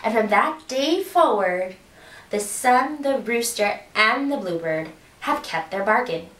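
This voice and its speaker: A woman tells a children's folktale slowly, with a lively, sing-song pitch. Her voice is fairly high. The recording sounds distant and roomy. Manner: slow, expressive. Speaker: storyteller. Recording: roomy echo, distant mic.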